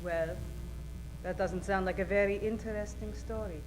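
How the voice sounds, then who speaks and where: A woman's voice: medium pitch, fairly even intonation, moderate pace, a little hiss or hum, close to an everyday voice, low-quality recording. A woman playing a haughty but nervous femme fatale singer in an old-time detective radio spoof. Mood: flirtatious, dismissive. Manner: haughty, speaks of herself in third person.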